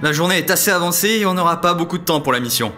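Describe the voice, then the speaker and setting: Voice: mid-range voice, racing, bright, forceful tone, natural voice. A performer playing a frank, harried ensign who runs a time machine in a comic audio drama, blunt and informal. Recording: music behind the voice.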